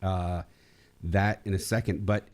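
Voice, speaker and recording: A man hosting a conversational radio interview, talking in a halting way as he thinks aloud. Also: low voice, little pitch movement, darkish tone. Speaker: radio show host interviewing a former student.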